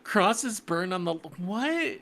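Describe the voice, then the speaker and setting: A woman's voice: medium pitch, big pitch swings, takes it slowly, fairly bright tone. A woman from New York state reading a script aloud as a podcast co-host, breaking off for sardonic, incredulous asides. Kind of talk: script table read with joking commentary.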